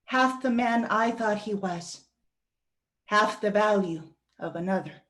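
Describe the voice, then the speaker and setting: A woman reading a first-person monologue aloud as a guest reader, with a deliberate, earnest storytelling delivery. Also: mid-range voice, a bit bright.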